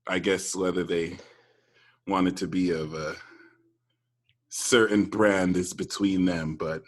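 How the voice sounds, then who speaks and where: Guy doing a deep-voiced god with a fake british accent, deadpan and goofy. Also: takes it slowly. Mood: musing. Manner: playful, deadpan jokes. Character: God, a joking man-made deity with a put-on British accent. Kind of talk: comic improvised bit on a live stream.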